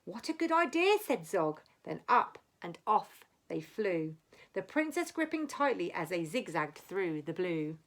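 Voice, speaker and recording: Female storybook reader, teacher-like: deliberate rhyming delivery, very lively intonation, unhurried. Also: on the low side. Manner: dramatic, deliberate phrasing. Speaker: teacher reading aloud. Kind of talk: expressive children's storybook reading in rhyme.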